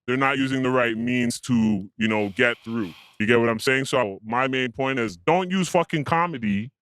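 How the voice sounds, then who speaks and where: A man's voice: mid-range voice, normal speed, a bit bright, clear, resonant tone, dry recording. A man on a panel, talking bluntly and emphatically with swearing, in a casual group discussion. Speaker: panel guest.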